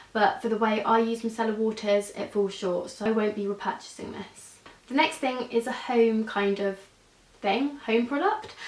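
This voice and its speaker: A young woman with a stuffy nose and a scratchy throat reviews products in a loose, chatty way, often stopping to say "um". Her voice sits at an ordinary middle pitch. It bounces around a bare room and sounds some distance from the mic. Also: echoey room. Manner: chatty, rambling, with frequent fillers and restarts. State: congested, sore throat. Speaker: beauty vlogger. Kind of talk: casual product review vlog.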